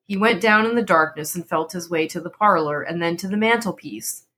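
A woman's voice: fairly low pitch, a little fast, bright, forceful tone. A woman narrating a literary horror story as a dramatic reading.

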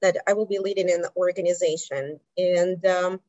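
A middle-aged woman from Kazakhstan talks through her career in English, her second language, pausing often with thoughtful ums. Her voice sits fairly low for a woman. The recording is dry and a little dull, lo-fi. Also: steady tone, warm tone, dry recording. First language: not English. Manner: thoughtful, many um pauses. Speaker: health plan president. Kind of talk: speaking on a panel.

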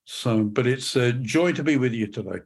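An English man, a podcast guest, speaking in a measured way with frequent ums and restarts. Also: medium pitch, husky. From England. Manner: measured, many fillers and restarts. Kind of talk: podcast interview.

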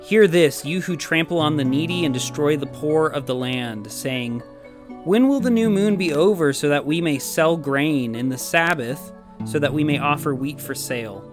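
A man's voice: fairly high pitch, normal speed. A man reading scripture aloud in a solemn style. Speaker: scripture reader. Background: soft acoustic guitar music.

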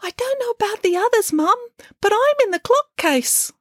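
A woman's voice: very high-pitched, expressive, normal speed, a bit bright, no echo, clean studio sound, pitched above the performer's own voice. A woman voicing a small young piglet son, innocent, earnest and questioning, in a children's storybook. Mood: timid.

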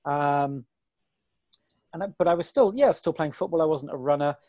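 Hoarse tired guy in his 40s, chatty and self-deprecating, dry close sound. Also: fairly high pitch, moderate pace, mellow, no echo. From Britain. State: hoarse, weary. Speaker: guest, ultrarunner. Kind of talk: casual interview chat.

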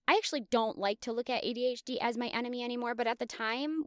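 A woman's voice: a little high, a bit bright, smooth, dry recording, studio quality. A woman in her thirties hosting a podcast, telling her own story in a candid, confessional, self-reflective way. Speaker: podcast host. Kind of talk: personal storytelling monologue.

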